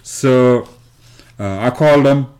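A man from South Sudan with a South Sudanese accent, telling his story as an interview guest in a halting way, with frequent pauses and repeated words. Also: mid-range voice, a little mellow. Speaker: interview guest, community organization founder.

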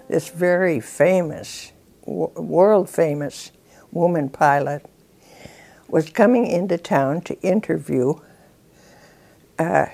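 Slow, gravelly older woman from Illinois. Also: lowish voice, darkish tone. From suburban Chicago, Illinois. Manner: wistful, unhurried. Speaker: interviewee, former pilot. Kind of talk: documentary interview, reminiscing.

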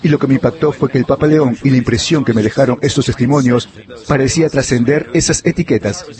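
A man giving a voice-over interpretation of an interview, relaying it in a steady, fluent way. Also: mid-range voice, quick pace, a crowd in the background.

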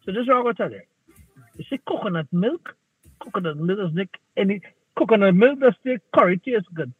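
A man's voice: high-pitched, animated, a little fast, breathy edge, no echo. A man hosting a casual talk show, teasing his co-hosts in a playful way. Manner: playful, teasing, Caribbean English phrasing. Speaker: show host. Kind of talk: casual chat. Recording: tinny phone-like line.

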